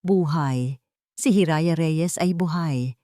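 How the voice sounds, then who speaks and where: A woman narrating a scripted dramatic story in a measured, solemn way with pauses for effect. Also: low voice, warm tone, no echo, clean studio sound.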